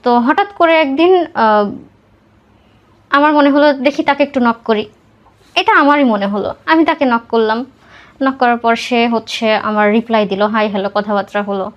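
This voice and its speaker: A woman's voice: fairly high pitch, moderate pace, long pauses, lo-fi sound. A young woman with a Bangladeshi accent tells her personal story conversationally in an interview, mixing in English words. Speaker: podcast guest telling own life story.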